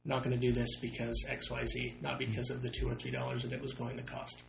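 A man's voice: medium pitch, monotone, quick pace, vocal fry, roomy sound. A young man running for city council, answering interview questions earnestly and hedging with "I think.". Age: young adult. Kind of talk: candidate interview.

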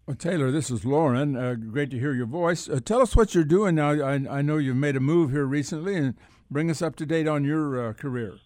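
A man's voice: a little high, fairly quick, darkish tone, no echo, studio quality. Older American man co-hosting sports radio. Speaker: sports talk radio co-host. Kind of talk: casual sports chat.

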